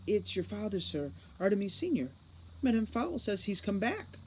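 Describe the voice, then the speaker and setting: Woman doing excitable servant girl, higher pitched, breathless n halting, storybook, faint noise. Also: close to an everyday voice, rough recording. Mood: flustered, earnest. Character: excitable, flustered, deferential young servant girl.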